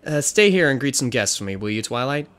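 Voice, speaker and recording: A man's voice: mid-range voice, sing-song, fast, a bit bright, clear, resonant tone. A man playing a young, good-natured pony guard captain in an audio drama, boyish and prone to stammering. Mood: teasing, theatrical. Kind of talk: fan audio drama acting.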